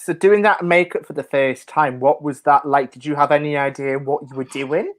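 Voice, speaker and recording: A woman's voice: low voice, moderate pace. A woman hosting a casual interview, chatting with guests and putting questions to them. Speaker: show host interviewing guests.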